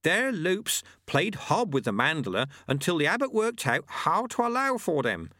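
Man voicing an old male monk sweeper, very high voice, mild, quotes sayings, unruffled. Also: very animated, moderate pace, sharp tone, no echo, studio quality, heavily acted. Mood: serene. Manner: mild, matter-of-fact, proverb-quoting.

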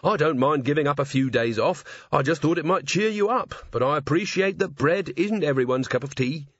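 A man's voice: fairly high pitch, expressive, brisk, a bit bright, no echo, voice pushed up. A man voicing an earnest, cheerfully oblivious young male watchman. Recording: slightly dull lo-fi audio.

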